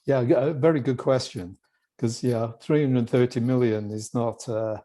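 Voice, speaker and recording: Mellow-voiced British man, chatty guest. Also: medium pitch, moderate pace. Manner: relaxed, chatty, joking asides. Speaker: guest executive. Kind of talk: conversational interview answers.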